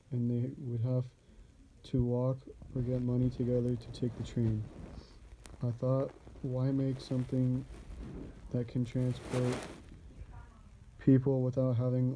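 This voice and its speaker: Earnest car inventor played by a man, radio interview skit. Dark, mellow tone, flat delivery, plainly recited. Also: medium pitch, a little hiss or hum, close to an everyday voice, poor audio.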